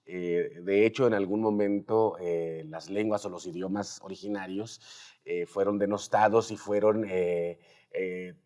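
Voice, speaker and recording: Man, Mexican accent, low voice, interview host, emphatic and explanatory, conversational. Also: fairly even intonation, a little slow, mellow.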